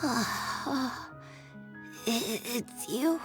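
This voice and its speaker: An actress playing a frail, fevered, dying mother in a video game, speaking faintly and haltingly. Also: on the high side, over music. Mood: weak, grateful. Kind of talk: dramatic video game voice acting.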